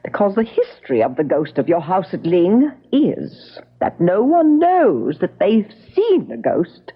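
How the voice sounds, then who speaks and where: An actress playing a brisk, cautioning country estate agent in a radio drama, emphatic and foreboding. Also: female voice, mid-range voice, wildly swooping pitch, slightly rough, exaggerated voice. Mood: ominous, cautioning. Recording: dull lo-fi radio drama recording.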